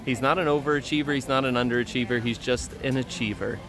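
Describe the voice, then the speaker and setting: A man with a slightly hoarse voice chats casually to viewers and friends as he hosts a live stream. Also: medium pitch, quick pace, music in the background. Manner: chatty, addresses viewers and friends. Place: outdoors at a busy theme park.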